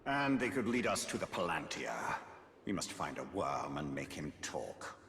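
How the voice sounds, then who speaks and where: An actor playing a bitter, proud, commanding elf wraith in a video game, grave, accusing and theatrical. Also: male voice, low-pitched, very animated, normal speed, noisy background. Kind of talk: dramatic video game cutscene acting.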